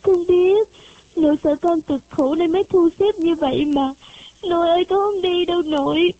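A woman's voice: very high-pitched, normal speed, dry recording, narrow, tinny audio, pitched above the performer's own voice. A woman voicing a devoted, tearful eight-year-old girl who pleads with her grandmother in a childlike way, in a storybook reading. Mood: pleading. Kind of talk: audiobook character voice.

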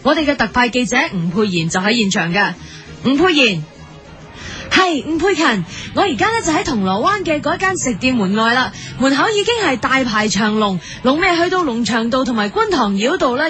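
A woman's voice: high voice, big pitch swings, a little fast, a bit bright, faint music behind. A woman with a Hong Kong Cantonese accent playing an earnest, polite news reporter in a parody radio bulletin.

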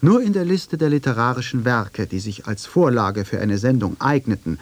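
A man's voice: mid-range voice, lively intonation, normal speed, no echo. A man narrating a scripted radio documentary in dialogue form.

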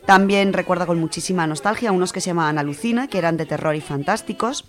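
Low-voiced Spanish woman, chatty radio presenter. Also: fast talker. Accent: Spanish from Spain. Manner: chatty, informal, colloquial. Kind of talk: casual radio chat, reading out listener contributions.

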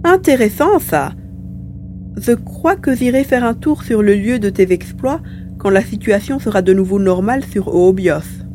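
Over a faint hum, a woman acts out a small male mouse-beaver mutant from a science fiction audio serial. The creature is playful and talkative, with a soft, mellow voice of middle pitch. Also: animated, measured pace, close to an everyday voice. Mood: helpful, curious. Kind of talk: acted dialogue in an amateur audio serial. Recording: faint background hum and noise.

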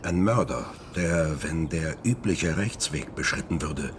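A man's voice: a bass voice, lively intonation, a little slow, background noise. An actor playing a persuasive, defiant and theatrical man in a radio drama, speaking with insistent rhetoric. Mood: urgent, persuasive.

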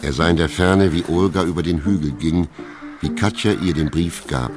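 A man narrating a classic novel in a German radio drama, with measured storytelling. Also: low voice, music in the background, lightly acted.

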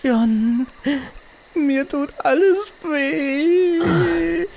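A woman's voice: high-pitched, drawn-out words, vocal fry, darkish tone, slight background noise, heavily acted. A woman playing an anxious, exhausted and reluctant adventurer's sidekick in a comedic audio drama, whiny and melodramatic.

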